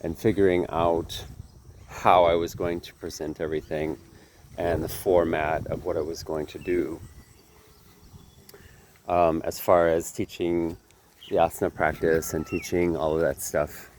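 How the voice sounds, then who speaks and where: A man's voice: low-pitched, little pitch movement, a little slow, long pauses, a little mellow, slight background noise. A man who teaches yoga, talking casually to camera, a little nasal from a sinus infection, earnest and rambling, often correcting himself.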